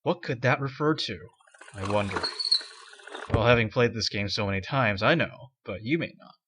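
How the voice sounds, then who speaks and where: A man's voice: on the low side, lively intonation, normal speed, background noise. A man giving casual commentary over an adventure game, explaining the controls in a rambling way with restarts. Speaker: let's play commentator. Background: game music.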